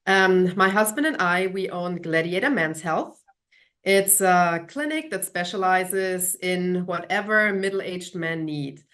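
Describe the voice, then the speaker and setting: A woman with a German accent speaks as an interview guest. Her voice is a little low for a woman, and she explains her work as a small business owner in a conversational way. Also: expressive, takes it slowly, a bit bright.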